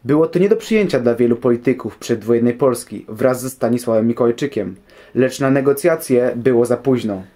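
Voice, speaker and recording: A man's voice: mid-range voice, brisk, dark tone. A young man giving scripted history commentary as a guest on an audio programme.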